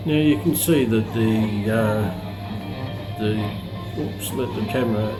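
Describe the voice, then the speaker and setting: Male, middle-aged, lowish voice, informal hobbyist demo, halting and unhurried, music clearly playing behind. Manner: hesitant, unhurried explaining with pauses. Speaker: radio collector presenting a review. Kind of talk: casual unscripted product demonstration. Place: indoors, at a tabletop with the radio. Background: radio broadcast playing in the background.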